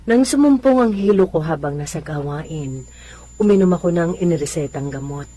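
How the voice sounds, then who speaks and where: A woman's voice: deep voice, expressive, moderate pace, dark tone. A woman playing a devout, stubborn elderly mother in a religious radio drama, speaking in a pious, reassuring way. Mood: gentle, reassuring.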